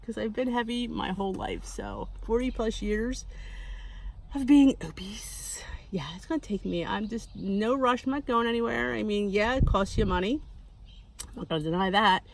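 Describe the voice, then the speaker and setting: A woman's voice: medium pitch, animated, normal speed, vocal fry, a little hiss or hum. A woman in her fifties talks straight to her viewers in a candid, unpolished way. She rambles and restarts, pokes fun at herself, and keeps a mid-range voice throughout. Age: 50s. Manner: candid, rambling, self-deprecating, with frequent restarts. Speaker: weight-loss vlogger. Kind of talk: casual talking-to-camera monologue.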